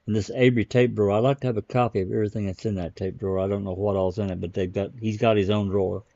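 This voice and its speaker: A man hosts a casual talk stream in a low voice, thinking aloud and rambling from point to point. The audio is slightly dull, like a muffled online stream. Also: fairly even intonation, rapid-fire, gravelly, dark tone, dry recording. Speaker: live stream host. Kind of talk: casual commentary on case records.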